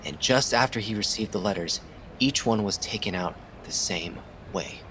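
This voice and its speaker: A man's voice: fairly low pitch, animated, moderate pace, bright, forceful tone, a little hiss or hum, rough recording. A man acting as an earnest, methodical police detective in an audio drama. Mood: intent, reasoning.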